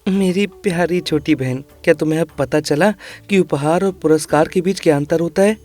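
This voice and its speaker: A woman voicing a caring, poor boy, a gentle and instructive elder brother in a children's moral cartoon. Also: low voice, normal speed, faint music behind.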